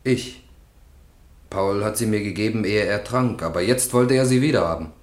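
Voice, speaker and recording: A man's voice: on the low side, moderate pace, lightly acted. An actor playing an evasive, sullen man on trial in a radio crime drama, giving terse, reluctant answers in a roomy, echoing space.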